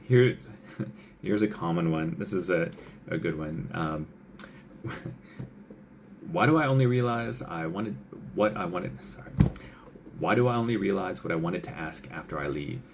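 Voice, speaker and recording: A man's voice: sits low, animated, unhurried, gravelly, pauses often, noisy background. A male doctor giving casual advice, chatty, with many fillers, who often acts out what patients and doctors might say.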